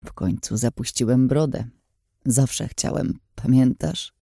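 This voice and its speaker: A woman narrator puts on a weary captive father in an audiobook. He speaks gently and in a hushed manner, with a very dark, soft tone in a dry, close recording. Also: extremely low pitch, takes it slowly, vocal fry, breathy edge, dry recording, full character voice. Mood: wry. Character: weary captive father, tender, whispering, wryly humorous.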